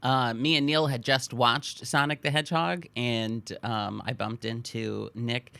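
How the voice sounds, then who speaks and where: A male podcast guest with a bright, forward voice of medium pitch. He chats casually, and the sound is dry and close. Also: steady tone, a little slow, gravelly, clean tone, no echo. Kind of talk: casual chat.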